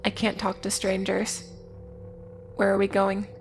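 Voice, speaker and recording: A woman delivers scripted video essay narration with little rise and fall in her medium-pitched voice. She keeps it informal, slipping in wry asides as she recaps, and music plays underneath. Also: completely flat delivery, measured pace.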